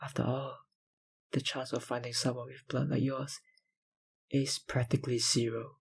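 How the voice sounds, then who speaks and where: An actor playing a possessive, powerful vampire kidnapper in an ASMR roleplay, breathy and husky, with a dominant, condescending manner. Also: male voice, mid-range voice, level tone, moderate pace, slight background noise, natural voice. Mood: obsessive, explaining. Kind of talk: ASMR roleplay, dramatic monologue.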